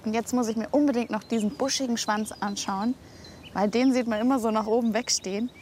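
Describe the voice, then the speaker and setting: A woman with a fairly high voice gives spontaneous commentary for a kids' wildlife show. She sounds curious, expressive and easily excited. There is faint outdoor noise around her. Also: fairly quick. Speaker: reporter for a children's wildlife show. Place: on location, outdoors.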